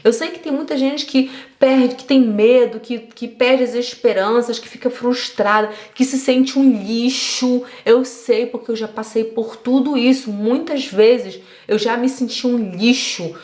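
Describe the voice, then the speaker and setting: A woman's voice: fairly high pitch, measured pace, hardly any pauses, darkish tone. Encouraging woman teacher, echoey room. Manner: encouraging, earnest, speaks straight to the viewer. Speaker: German teacher. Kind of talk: motivational online lesson.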